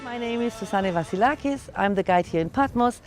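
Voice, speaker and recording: A woman's voice: fairly high pitch, expressive, a little fast, loud music behind the voice. German-accented woman guiding a tour outdoors. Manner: friendly explaining, non-native grammar. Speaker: tour guide. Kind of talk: guided tour talk. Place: outdoors on a hilltop.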